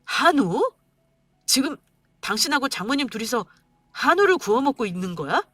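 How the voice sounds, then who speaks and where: A woman voicing an angry, stingy husband who shouts and sneers at his wife. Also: high voice, big pitch swings, normal speed, no echo, pitched above the performer's own voice, full character voice. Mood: scornful. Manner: shouting, scornful and bitter.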